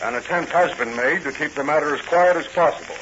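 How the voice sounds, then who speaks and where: An earnest American police commissioner played by a male actor in an old-time radio drama. He talks formally and with authority in a fairly high male voice, on a dull vintage recording. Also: quick pace, noisy background, lightly acted. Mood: earnest, businesslike. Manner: formal, businesslike. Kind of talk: 1940s radio drama acting.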